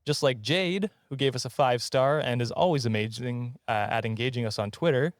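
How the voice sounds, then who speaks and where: A man hosting a casual comedy podcast in a jokey, slightly halting way. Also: mid-range voice, no echo, clean studio sound. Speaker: podcast host.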